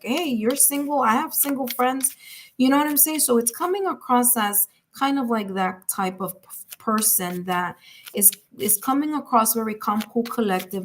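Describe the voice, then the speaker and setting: A woman's voice: medium pitch, a little hiss or hum. A woman with a Puerto Rican accent giving a live tarot reading in a chatty way, full of fillers and repetition. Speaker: tarot reader.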